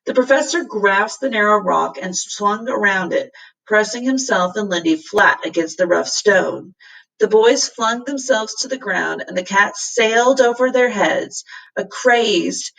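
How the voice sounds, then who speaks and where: A woman's voice: mid-range voice, a little slow, creaky, a bit bright, recorded from a distance, low-quality recording. A woman reading a children's story aloud with dramatic expression, as a teacher does for a class. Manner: dramatic, acts out the characters' lines.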